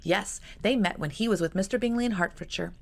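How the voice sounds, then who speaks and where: A woman voicing an earnest, eager young girl in a storybook reading, in a gravelly voice. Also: medium pitch, brisk, pitched above the performer's own voice. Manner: earnest, pleading.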